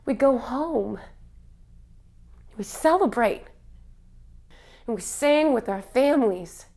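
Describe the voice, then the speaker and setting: A woman performing a theatrical spoken-word monologue in a solemn, impassioned manner, with dramatic pauses. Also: a little high, expressive, darkish tone.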